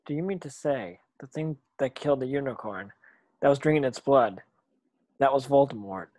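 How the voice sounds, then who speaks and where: A man's voice: mid-range voice, animated, moderate pace, darkish tone, dry recording, lightly acted. A man playing an earnest, brave schoolboy wizard in an amateur screenplay table read. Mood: puzzled, uneasy.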